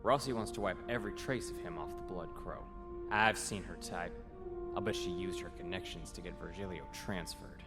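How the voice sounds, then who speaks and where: A deep-voiced, wary young naval ensign, played by a man, in a sci-fi audio comic. He moves between dialogue and inner monologue, with long reverb and music behind him. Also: moderate pace. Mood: wary, knowing.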